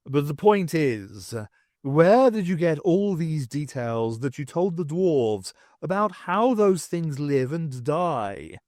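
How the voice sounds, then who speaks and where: A man's voice: a little high, very animated, slow, darkish tone, no echo, clean studio sound. Man voicing an anxious, formal talking tomcat. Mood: baffled. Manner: formal, old-fashioned phrasing. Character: anxious, baffled talking male cat companion.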